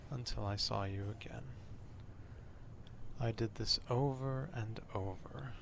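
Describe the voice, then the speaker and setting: A man playing an obsessive, old-fashioned vampire stalker in an ASMR roleplay, speaking formally in a hushed half-whisper, close to the microphone. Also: mid-range voice, noisy background. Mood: obsessive, calm. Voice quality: hushed, breathy half-whisper with gravelly fry. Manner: slow, deliberate, formal archaic wording.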